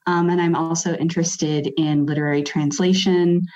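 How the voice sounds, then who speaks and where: A woman's voice: sits low, steady tone, normal speed, darkish tone. A young woman speaking as a podcast guest, explaining casually with hesitant phrasing. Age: young adult. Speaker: podcast guest, PhD student. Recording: dull lo-fi sound.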